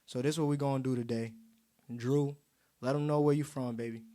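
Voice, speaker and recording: A man's voice: medium pitch, steady tone, a little fast, mellow. A young man from the United States hosting a podcast in a casual, colloquial way. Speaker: podcast host.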